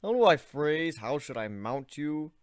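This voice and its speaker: A man's voice: on the high side, big pitch swings, unhurried, clear, resonant tone, dry recording, studio quality. A man voicing a socially awkward, nervous young human man, speaking hesitantly.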